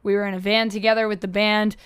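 A woman hosting a podcast in a casual, chatty way, her voice a little hoarse from a cough. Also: medium pitch, level tone, fast talker, dry recording. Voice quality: upbeat, chatty. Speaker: podcast host.

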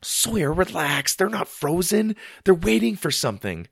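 A man acts as a young girl from a children's story, sensible yet nervous. The voice sits high and moves in a lively, earnest way. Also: moderate pace, creaky, breathy edge, no echo, clean studio sound, exaggerated voice. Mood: firm.

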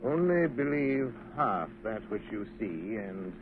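An actor playing a calm, deliberate gentleman sleuth in a cultured, measured 1930s radio drama style. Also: lowish voice. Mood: grave, assured. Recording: tinny, noisy 1930s radio recording.

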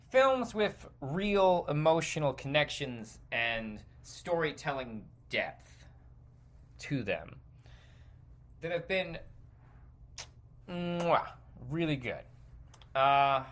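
A man hosting a podcast, delivering a rambling, sardonic and exasperated opinion rant with halting, emphatic phrasing. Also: fairly high pitch, sharp tone. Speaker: podcast host.

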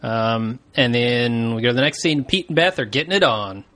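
A man's voice: mid-range voice, lively intonation, normal speed, a bit bright. A man co-hosting a podcast, casually recapping a TV episode in a laid-back, jokey way and laughing easily. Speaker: podcast co-host.

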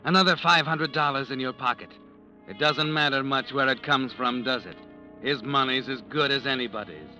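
An actor playing a sardonic, all-knowing narrator who taunts and insinuates in 1940s radio drama style. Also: male voice, mid-range voice, moderate pace, pushed and bright, soft background music. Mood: sardonic, insinuating. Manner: insinuating, taunting, addresses the character as you.

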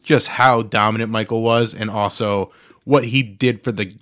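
A man's voice: lowish voice, fairly even intonation, moderate pace, a bit bright. A man hosting a podcast in casual chat, talking informally like an enthusiastic sports fan. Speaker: podcast host.

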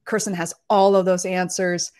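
A middle-aged woman hosting a live interview, enthusiastic and a bit halting with fillers. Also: lowish voice, flat delivery, a little mellow. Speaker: host.

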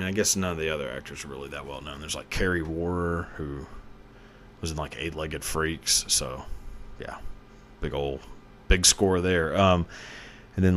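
A man hosting a podcast, rambling through casual, joking commentary with lots of fillers and profanity. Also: low voice. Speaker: podcast host.

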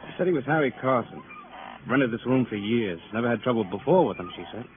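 A man's voice: mid-range voice, animated, fast, close to an everyday voice. Man acting a streetwise cop on crackly old radio. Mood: wry, weary. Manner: colloquial, clipped short sentences. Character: talkative, streetwise male police sergeant, wry and weary. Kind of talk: 1950s radio crime drama acting. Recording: noisy, narrow-band vintage radio recording.

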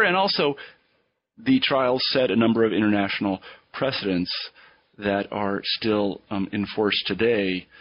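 Husky-voiced male podcast host, slightly dull sound. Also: fairly low pitch, dry recording. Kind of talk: conversational podcast hosting. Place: remote interview call from Iowa.

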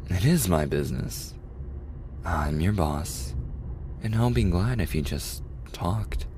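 A man playing a caring, protective male boss in an intimate boyfriend ASMR roleplay, speaking softly and gently. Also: sits low, lively intonation, takes it slowly, a little hiss or hum. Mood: serious, caring. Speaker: voice actor in boyfriend audio roleplay.

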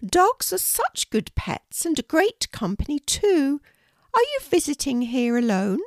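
A woman voicing a gracious, kindly elderly queen with a gentle, genteel manner in a storybook reading. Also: sits high, very animated, a little slow, no room sound, studio quality, voice pushed up, heavily acted.

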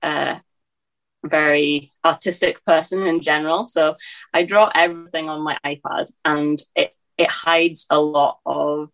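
A woman's voice: low-pitched, steady tone, unhurried, a bit bright, rough recording. A woman in her twenties with an English accent tinged with Scottish, answering interview questions hesitantly, with many fillers and restarts. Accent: English with a slight Scottish tinge. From England. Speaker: guest.

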